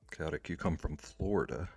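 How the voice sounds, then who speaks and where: A man's voice: low-pitched, darkish tone, husky, dry recording. A man streaming live while he draws, chatting casually with viewers and rambling with lots of "like" fillers. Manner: rambling, with many "like" fillers and restarts. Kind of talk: casual chat, reading and answering chat.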